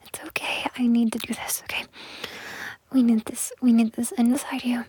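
A woman in a whispered intimate audio roleplay, talking in a breathy whisper with a slow, coaxing, possessive manner and many soft repetitions. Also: on the high side, flat delivery, no room sound.

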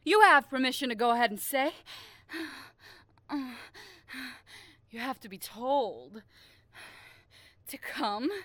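A woman playing a bossy, demanding young adult college senior in an adult audio roleplay, with a breathy, emphatic delivery. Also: a little high, lively intonation, slow pace, pauses often, sharp tone, dry recording, heavily acted. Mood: commanding, teasing. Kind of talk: adult intimate audio roleplay, dramatic monologue.

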